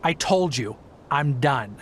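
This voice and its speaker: A man's voice: mid-range voice, animated, slow pace, fairly bright tone, a little hiss or hum. An actor playing an aggrieved, defiant family man in a video game, speaking emphatically and indignantly.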